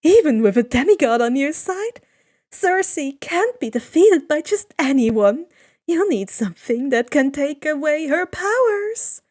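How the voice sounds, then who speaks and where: A woman's voice: sits high, very animated, measured pace, darkish tone, pitched above the performer's own voice. A woman voicing a coy, slightly unhinged male trickster god with a chirpy, sing-song manner in a fan fiction reading. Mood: coy, teasing.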